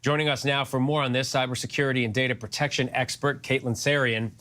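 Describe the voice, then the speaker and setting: A man anchoring a television news broadcast, reading and interviewing in a measured, formal way. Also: mid-range voice, flat delivery, pushed and bright.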